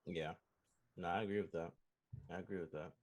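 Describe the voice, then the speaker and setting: A man's voice: low voice, fairly even intonation, fairly quick. A man co-hosting a casual movie discussion, speaking briefly and agreeably. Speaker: podcast co-host.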